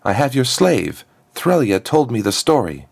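A proud young princess with a quick temper, played by a male narrator. The voice sits raised above his usual one, earnest and defiant, in a storybook reading. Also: mid-range voice, very animated, gravelly, husky, dry recording, pitched above the performer's own voice, heavily acted. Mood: indignant.

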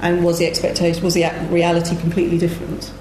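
A woman's voice: lowish voice, monotone, racing, warm tone. A woman moderating a live panel, putting questions to guests in an informal style. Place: live festival panel in a roomy venue. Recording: echoey room with clear background noise.

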